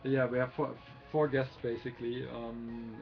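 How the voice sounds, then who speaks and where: A man's voice: mid-range voice, little pitch movement, normal speed. A man chatting casually as host of a livestream, friendly and a little hesitant, filling gaps with ums. The sound is dull and lo-fi, with faint music under it. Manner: friendly, hesitant with many fillers. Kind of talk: casual chat.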